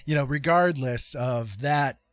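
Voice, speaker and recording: A middle-aged American man chatting reflectively on a livestream, speaking earnestly and emphatically with halting pauses. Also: medium pitch, no room sound. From Indiana, United States. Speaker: livestream host. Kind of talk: casual reflective livestream chat.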